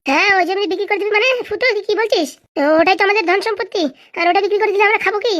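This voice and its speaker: Woman acting as a naggy angry village wife in a cartoon, high fast voice. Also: fairly even intonation, fairly bright tone. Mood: indignant scolding. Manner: scolding, indignant, combative. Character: quarrelsome, sharp-tongued cartoon village wife. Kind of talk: comedy cartoon dialogue.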